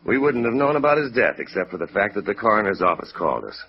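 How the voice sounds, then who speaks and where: A man's voice: fairly low pitch, very animated, fast talker. An actor playing an earnest, sentimental middle-aged insurance claims man in a 1950s radio drama, talking conversationally. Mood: earnest, wistful. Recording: muffled vintage radio recording.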